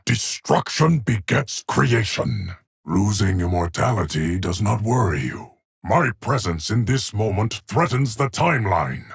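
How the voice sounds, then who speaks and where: Gravelly, deep immortal time guardian. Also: male voice, sing-song, no room sound, clean studio sound, heavily acted. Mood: stern, commanding. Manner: grave, measured, formal pronouncements. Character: solemn ageless immortal male guardian of time. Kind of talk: video game character voice lines.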